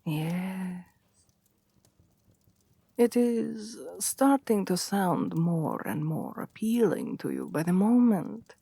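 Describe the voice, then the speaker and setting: A woman's voice: mid-range voice, animated, takes it slowly, no room sound, exaggerated voice. A woman voicing a seductive, domineering vampire queen in an intimate ASMR roleplay, haughty and possessive, with a languid, commanding purr that often drops toward a whisper. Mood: seductive, coaxing. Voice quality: breathy, often dropping to whispers. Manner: languid, condescending, commanding purr with soft chuckles.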